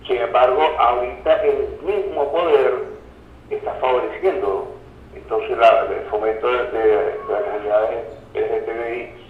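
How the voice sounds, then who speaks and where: A man giving discursive commentary as a radio interview guest, didactic and halting, repeating phrases for emphasis. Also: mid-range voice, steady tone, a little hiss or hum. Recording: dull, roomy radio call sound.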